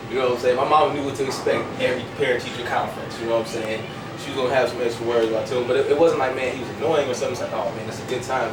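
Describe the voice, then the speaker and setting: A 22-year-old American man from Chicago, a stand-up comedian chatting casually and jokingly in slangy language as an interview guest. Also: medium pitch. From Chicago, United States. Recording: echoey, distant, very noisy public space.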